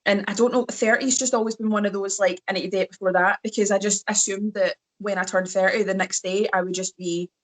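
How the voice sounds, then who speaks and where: A woman's voice: medium pitch, steady tone, quick pace, few pauses. A Scottish woman in her thirties, a wrestler chatting as a podcast guest, telling rambling self-mocking stories. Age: 30s. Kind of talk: casual podcast chat.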